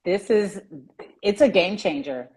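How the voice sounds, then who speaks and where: A woman's voice: medium pitch, expressive, measured pace, a little mellow, husky, low-quality recording. A woman hosting an interview, introducing her guest and asking about her beginnings in an encouraging, chatty way. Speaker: host. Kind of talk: casual interview.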